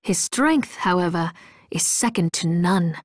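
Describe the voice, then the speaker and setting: A woman playing a courteous, loyal, gentle ally in a video game, with polite, formal speech. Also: fairly low pitch, animated, measured pace, no echo, clean studio sound. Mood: earnest. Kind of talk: video game character acting.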